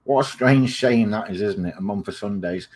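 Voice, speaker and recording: A man from England with an English accent softened by life in the States, hosting a daily educational talk, pausing often with ums and correcting himself. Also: lowish voice. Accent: English, softened by years in the US. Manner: hesitant, many ums and uhs, self-correcting. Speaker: host of a daily video series. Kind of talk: informal educational monologue.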